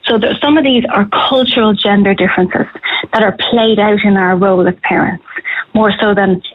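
A woman, a clinical psychologist answering radio interview questions in a measured, hedging way with frequent restarts. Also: medium pitch, steady tone. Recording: phone line.

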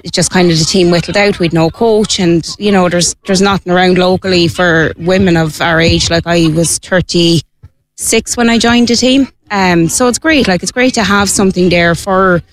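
A woman's voice: on the low side, normal speed, no room sound, rough recording. A woman in her late thirties with an Irish accent, a team player, chatting casually and with some self-deprecation. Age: late 30s. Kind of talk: casual interview.

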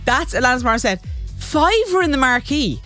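A woman's voice: high voice, big pitch swings, normal speed, fairly bright tone, soft background music. Gushing woman radio host. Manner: chatty, effusive, gushing. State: sleep-deprived, voice deeper than usual. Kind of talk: casual on-air chat. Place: radio studio.

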